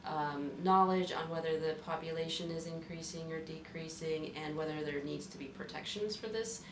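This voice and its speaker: A woman's voice: deep voice, moderate pace. A woman from Wisconsin, a college faculty member, explaining her research project plainly in an interview. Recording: echoey room, mic far off, noisy.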